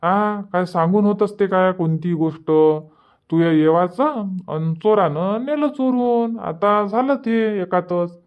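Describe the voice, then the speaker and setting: A man's voice: high voice, slow, smooth, lo-fi sound. A man playing a reassuring, bossy village elder brother in a cartoon comedy, speaking Marathi with a Varhadi accent and repeating his advice. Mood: reassuring, firm. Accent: Varhadi Marathi of Vidarbha. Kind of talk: dialect cartoon comedy dialogue.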